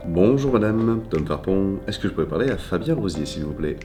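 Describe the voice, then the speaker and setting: An actor playing a polite, eager job seeker making a courteous and persistent phone call. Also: male voice, sits low, animated, brisk, over music. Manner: courteous, clearly phrased, persistent. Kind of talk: acted phone-call sketch.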